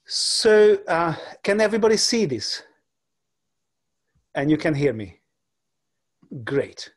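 Husky hungarian-born guy lecturing on a video call, lots of ums and restarts, laptop mic at home. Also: on the high side, very animated. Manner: halting, many fillers and restarts. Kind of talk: online lecture with slides. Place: home room. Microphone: laptop webcam on video call.

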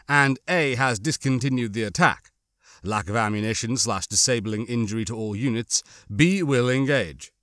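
A man's voice: mid-range voice, fairly bright tone, no echo, studio quality. A man narrating a horror novel as an audiobook, reading a dramatic scripted story.

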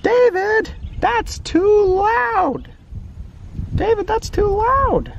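A high, shrill storybook mom acted by a man in falsetto scolds her naughty toddler in drawn-out exclamations. Outdoor noise is clear behind the voice. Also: muffled recording, exaggerated voice. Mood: exasperated.

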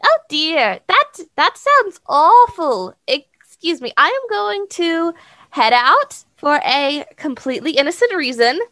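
A young noblewoman acted by a woman talks in a high, bright voice. She is naive yet defiant, delivering flowery lines with dramatic earnestness in a fantasy tabletop drama. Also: very animated, takes it slowly, no echo, heavily acted. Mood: evasive.

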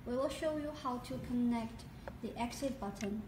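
Echoey, higher-pitched woman narrating instructions. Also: normal speed. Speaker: product demonstrator. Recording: echoey, distant mic, noisy background.

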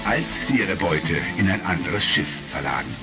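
A man's voice: rumbling low, sing-song, moderate pace, gravelly. A man narrating a retro sci-fi radio play in a dramatic, scripted style. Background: music with vintage record crackle.

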